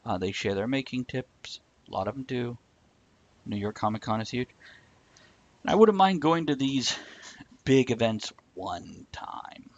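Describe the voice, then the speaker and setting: A man's voice: medium pitch, expressive, measured pace. Blunt man chatting, slightly dull lo-fi audio. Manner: blunt, opinionated, swears. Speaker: host of a live talk show. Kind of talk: casual chat, answering live viewer comments.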